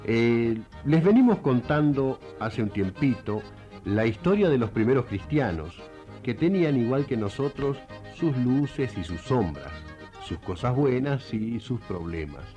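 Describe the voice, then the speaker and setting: Mellow, animated Argentine male storyteller. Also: mid-range voice, muffled recording. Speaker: radio narrator and host. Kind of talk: conversational storytelling.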